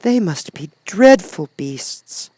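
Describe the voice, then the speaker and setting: A man's voice: sits high, very animated, takes it slowly, dark tone, husky, no room sound, voice pushed up, full character voice. A man voicing an innocent, earnest young girl in a storybook reading. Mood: earnest, wondering.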